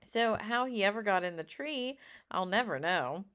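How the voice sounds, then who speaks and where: A woman reading a children's story aloud expressively, with dramatic drawn-out emphasis. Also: mid-range voice, lively intonation, fairly bright tone. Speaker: storybook reader.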